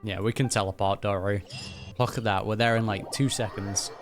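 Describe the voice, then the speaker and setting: A man's voice: on the low side, steady tone, a little fast, music in the background. Laid-back man reacting to a game. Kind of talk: casual gameplay reaction commentary.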